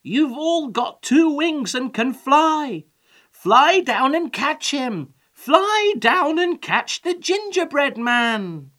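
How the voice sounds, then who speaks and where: A woman voicing an old male farmer in a storybook, urgently shouting orders. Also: sits high, big pitch swings, takes it slowly, pitched above the performer's own voice, heavily acted. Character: bossy old male farmer rallying his animals.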